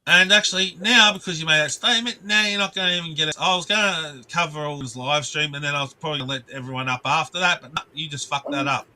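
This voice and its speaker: A man on a live stream with a very bright, slightly high voice. He rambles and swears, restarts sentences and breaks off to greet chat viewers. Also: fast, few pauses.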